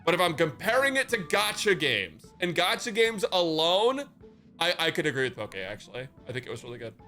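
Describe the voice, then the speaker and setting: A man's voice: a little high, big pitch swings, a little fast, bright, forceful tone, faint music behind. A man chatting casually as a live game streamer, slangy and joking, with swearing and hyperbole. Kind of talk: casual livestream chat.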